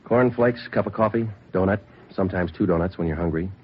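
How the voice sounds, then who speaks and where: Deep, deadpan, relentless police detective. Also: male voice, a little fast. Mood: cool, deadpan. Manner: clipped, deadpan, methodical questioning. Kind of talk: 1950s radio police procedural acting. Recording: dull lo-fi vintage radio recording.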